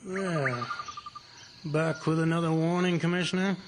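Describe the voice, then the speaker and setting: An actor playing a smug, unflappable plantation owner in old-time radio drama style, confident and offhand. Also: male voice, fairly high pitch, unhurried, noisy background, lightly acted. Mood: smug calm. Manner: confident, offhand, matter-of-fact.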